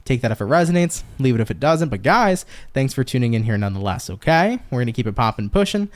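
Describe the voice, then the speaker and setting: A man's voice: mid-range voice, very animated, quick pace. A man with a New York accent giving a casual live-stream tarot reading, chatty and swearing freely as he talks straight to viewers. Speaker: tarot reader.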